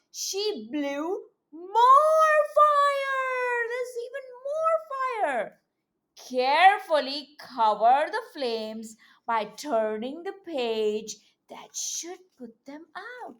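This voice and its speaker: Female kids' storybook reader: high, very expressive voice, interactive teacher delivery with coaxing questions. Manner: animated, exclaiming, with coaxing questions and repetition. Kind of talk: interactive read-aloud for young children.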